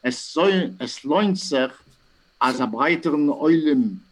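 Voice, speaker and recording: A man's voice: a little high, lively intonation, takes it slowly, rough recording. A man in casual conversation who speaks insistently and keeps stressing that something is important, breaking off and restarting his sentences.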